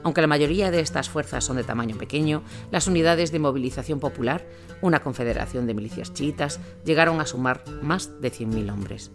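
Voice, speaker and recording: A man's voice: a little high, fairly quick. A man from reading a scripted documentary war narration as the narrator of an audio drama. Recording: music bed under the voice.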